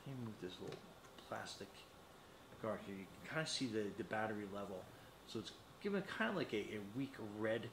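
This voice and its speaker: Echoey middle-aged man demonstrating a gadget. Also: lowish voice. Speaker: product reviewer. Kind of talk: casual unboxing and product demonstration. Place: small indoor metal-walled studio, seated at a desk. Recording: echoey room with faint noise.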